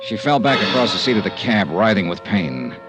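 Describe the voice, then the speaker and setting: A man's voice: lowish voice, sing-song, fairly quick, over music. An actor playing a hardboiled, concerned private investigator who narrates his case in 1950s radio drama style. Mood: somber narration. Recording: vintage radio drama recording.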